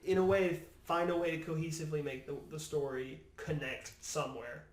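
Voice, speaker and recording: A man's voice: fairly high pitch, brisk. A man trading casual banter about movies as a panelist on a podcast. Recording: echoey room, distant from the mic.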